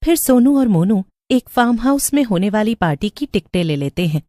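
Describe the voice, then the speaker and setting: A woman narrating a cartoon horror story in a steady storybook manner. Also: mid-range voice, a little fast, dry recording, clean studio sound.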